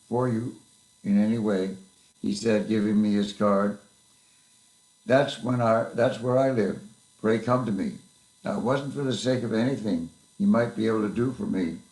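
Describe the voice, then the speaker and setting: A man's voice: on the low side, darkish tone, roomy sound, natural voice. A man reading the part of a mild, grieving poor clerk and father in a Victorian story, earnest and affectionate. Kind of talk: dramatic reading of a classic story.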